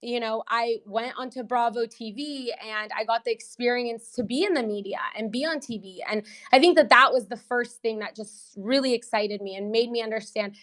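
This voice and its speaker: Us-born woman, portuguese first language, bit high voice, chatty podcast guest laughing between points. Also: bright, forceful tone. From United States. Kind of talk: casual interview storytelling. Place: in Brazil, remote.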